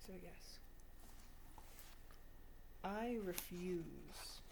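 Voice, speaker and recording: A woman's voice: on the low side, lively intonation, drawn-out words, vocal fry, noisy background. A woman of twenty giving a confessional video diary monologue in an emphatic, repetitive, self-deprecating way. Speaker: vlogger.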